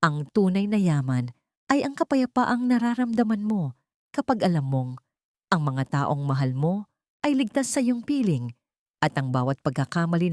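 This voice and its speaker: A woman's voice: lowish voice, darkish tone, no room sound, clean studio sound. A Filipino woman narrating a scripted dramatic story in a sentimental, solemn manner. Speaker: audiobook narrator.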